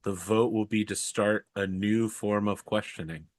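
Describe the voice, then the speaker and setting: A man's voice: fairly low pitch, steady tone, unhurried, no room sound. A man hosting the game chat, joking and wry with the players. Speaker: show host. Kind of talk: casual chat between players.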